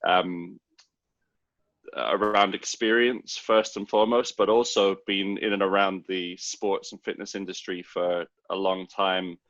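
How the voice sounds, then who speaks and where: A man's voice: low-pitched, little pitch movement, measured pace, a bit bright, no echo, dull audio. A man with a Scottish accent, a co-founder guest explaining his ideas at length and thoughtfully over a remote call. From Scotland. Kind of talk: casual interview talk.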